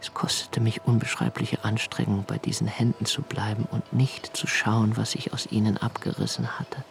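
An actor playing an anxious, brooding young writer in a literary radio drama monologue, intense and deliberate in delivery. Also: male voice, fairly low pitch, completely flat delivery, creaky, slight background noise. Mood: haunted, fearful. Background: music.

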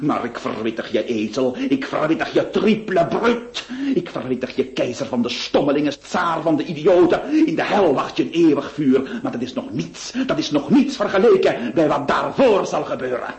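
Radio drama: wheedling, menacing notary, lively pitch swings. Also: male voice, moderate pace, few pauses. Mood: gleefully menacing. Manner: theatrical, swinging from syrupy coaxing to gleeful threats. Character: scheming middle-aged male notary, wheedling yet menacing.

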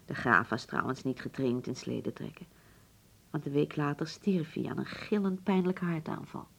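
A genteel hotel proprietress of the old school in a radio play. Her voice is low and gravelly at the edges. She speaks formally and nostalgically, pausing for dramatic weight like a practised storyteller. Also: expressive, heavily acted. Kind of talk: Dutch radio drama acting.

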